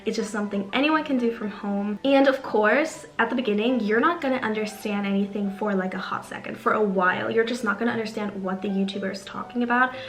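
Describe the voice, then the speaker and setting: A woman's voice: medium pitch, fast, hardly any pauses. A young woman whose first language is German, chatting casually to camera in a vlog, full of fillers. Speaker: YouTuber. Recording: roomy sound with faint music.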